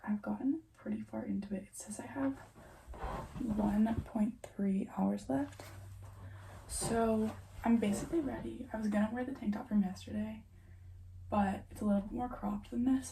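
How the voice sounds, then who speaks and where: A woman's voice: mid-range voice, creaky, mellow, a little hiss or hum, rough recording. A young woman chatting casually at home in a book vlog, full of "like" and "um" fillers. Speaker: book vlogger. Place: at home in front of a bookshelf.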